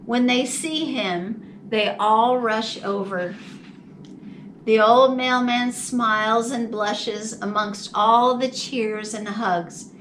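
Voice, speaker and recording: A woman reading a picture book to young children in a slow, animated, deliberate storytime style. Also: fairly high pitch. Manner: deliberate, animated, drawn-out phrasing. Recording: distant mic, faint background noise.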